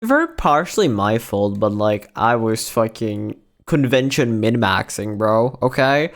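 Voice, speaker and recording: Hoarse young man rambling on stream. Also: fairly low pitch, measured pace. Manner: animated, slangy and profane, rambling with restarts. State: hoarse, voice worn out. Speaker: VTuber streamer. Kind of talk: casual livestream chat. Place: own bedroom.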